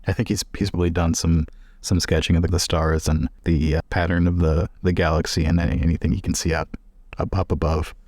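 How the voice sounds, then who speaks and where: A man's voice: deep voice, flat delivery, fairly quick, darkish tone, no echo. A man playing a tabletop game, casually describing what his character does.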